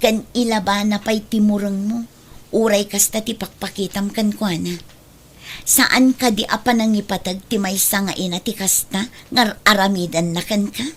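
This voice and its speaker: A woman's voice: medium pitch, fairly even intonation, normal speed, natural voice. A woman playing a caring but reproving elder sister in an audio drama, earnest and admonishing, with an Ilocano accent. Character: caring, reproving elder sister who defends her mother.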